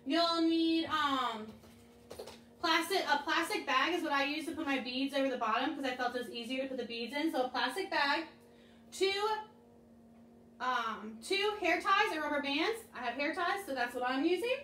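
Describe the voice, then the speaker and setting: A young woman with a high voice leads a children's devotional and craft lesson. She speaks brightly and animatedly to the kids, seated on a living room couch. She is recorded a few feet from the camera, so the room echoes around her voice. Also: moderate pace, slight background noise. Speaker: children's chapel leader. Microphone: camera a few feet away. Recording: echoey room, mic at a distance.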